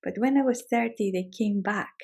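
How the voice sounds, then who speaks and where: A middle-aged woman with a Greek accent, a shamanic teacher talking calmly and steadily about her own path. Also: mid-range voice, creaky, husky. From Greece. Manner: calm, measured, repeats phrases for emphasis. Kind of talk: reflective personal talk.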